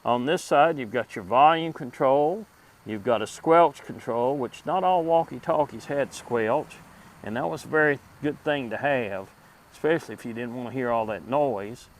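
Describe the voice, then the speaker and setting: A middle-aged man giving a casual show-and-tell to camera in a halting, deliberate way. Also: mid-range voice, poor audio. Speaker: host of a vintage gadget video series. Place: outdoors at a table in a wooded yard. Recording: outdoor ambient noise behind voice.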